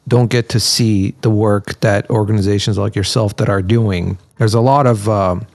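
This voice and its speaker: Low-voiced man hosting an interview. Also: steady tone, normal speed, vocal fry, a little mellow, no room sound. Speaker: podcast host. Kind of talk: conversational interview.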